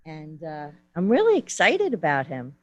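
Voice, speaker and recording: A woman chatting casually on a group video call, hesitant with many ums and quick to laugh. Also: deep voice, wildly swooping pitch, no echo, highs cut off, soft background music. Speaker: discussion group member. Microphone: computer microphone on a video call.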